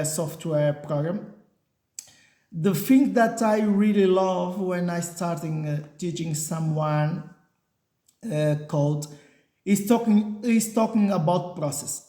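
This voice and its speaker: Slow, halting man. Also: high-pitched, a little mellow. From Portuguese-speaking country. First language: Portuguese. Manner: halting non-native English, many fillers and restarts. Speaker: software engineer and channel host. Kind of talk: casual talking-head vlog. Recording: echoey room.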